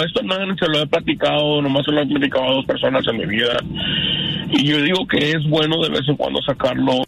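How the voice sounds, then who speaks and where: A man in his fifties with a Mexican accent, giving personal testimony as a radio caller in a halting, earnest, repetitive way. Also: on the high side, vocal fry, a bit bright, rough recording. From Canada. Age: 50s.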